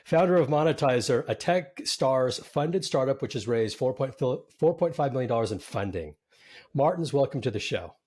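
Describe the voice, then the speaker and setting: A man's voice: medium pitch, expressive, normal speed, darkish tone, slightly rough. A man hosting a startup podcast, interviewing a founder in an upbeat, conversational way. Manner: upbeat, scripted intro then conversational. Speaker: podcast host.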